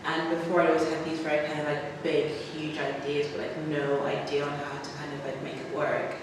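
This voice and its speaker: A young woman from Nigeria talks through her photography work in a considered, filler-laden way. She sounds far from the microphone, with a long echoing reverb around her. A steady hum of noise sits under her voice. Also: low voice, brisk. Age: 20s. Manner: thoughtful, many fillers like "kind of". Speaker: photography trainee. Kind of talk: panel discussion answers. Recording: echoey room, distant mic, noisy.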